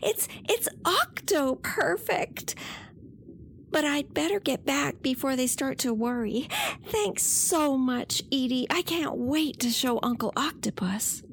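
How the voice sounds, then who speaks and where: A woman voicing an eager, sweet young octopus child in a children's audio drama, with a high, childlike voice. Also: lively intonation, takes it slowly, vocal fry, slight background noise, heavily acted. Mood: delighted, excited.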